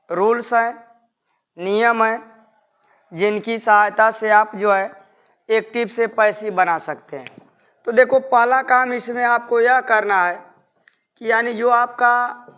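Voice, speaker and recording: Guy teaching a class, slow n clear voice, explains stuff step by step, repeats himself. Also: extremely high pitch, a bit bright. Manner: methodical, repetitive step-by-step explaining. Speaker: English grammar teacher. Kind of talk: explanatory classroom-style lesson.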